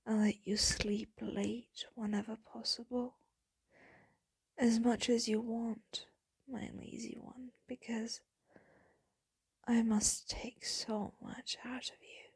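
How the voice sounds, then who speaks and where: Whispery, very breathy female vampire lover, acted by a woman, slow and wistful, gravelly edges, intimate ASMR roleplay. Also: on the high side, level tone, full character voice. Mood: aching, wistful. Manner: slow, hushed, doting, wistful.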